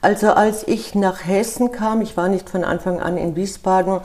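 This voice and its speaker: A woman's voice: medium pitch, steady tone, normal speed, a little mellow. An older woman from Munich with a Bavarian accent, a guest in an interview, speaking directly and casually with frequent fillers. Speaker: interview guest, activist group organizer. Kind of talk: conversational interview.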